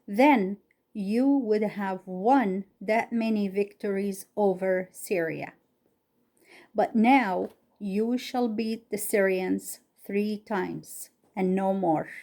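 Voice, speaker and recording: Slow, careful woman reading aloud. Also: medium pitch, dark tone. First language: not English. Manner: careful, halting reading with pauses between phrases. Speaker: Bible story reader. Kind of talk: reading a Bible story aloud.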